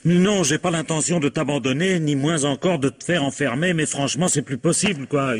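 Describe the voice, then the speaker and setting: An actor in a French radio drama playing a weary, cutting husband, husky-voiced, curt and reproachful. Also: male voice, a little high, fairly quick, close to an everyday voice. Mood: exasperated. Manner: curt, reproachful, dryly sarcastic. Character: exasperated, cutting husband weary of his jealous wife.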